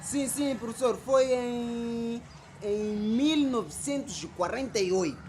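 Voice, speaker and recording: A keen pupil in a radio serial. The voice is fairly high, over faint background noise. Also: female voice, takes it slowly, darkish tone. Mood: keen, unsure. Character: keen but mistaken school pupil. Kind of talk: radio drama acting.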